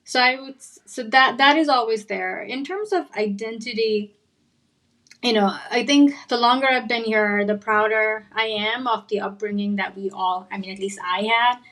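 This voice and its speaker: A woman's voice: a little high, moderate pace, a bit bright. A woman from Nepal in a casual panel discussion, reflective, searching for words as she thinks aloud. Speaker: podcast panelist.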